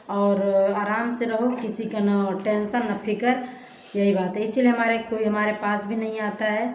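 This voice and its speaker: Young bhojpuri accent lady vlogging, kinda high voice, plaintive and chatty, noisy echoey room. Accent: Bhojpuri-accented Hindi, eastern Uttar Pradesh. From eastern Uttar Pradesh, India. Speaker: family vlogger. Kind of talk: casual confiding vlog chat to viewers. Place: indoors in a rented room. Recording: echoey room with clear background noise.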